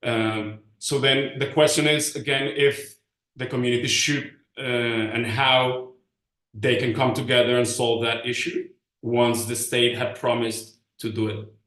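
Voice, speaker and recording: A man giving an informal presentation, pausing often as he searches for English words. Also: medium pitch, steady tone, a bit bright. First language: Spanish. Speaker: panelist presenting community research. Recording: roomy, echoing sound.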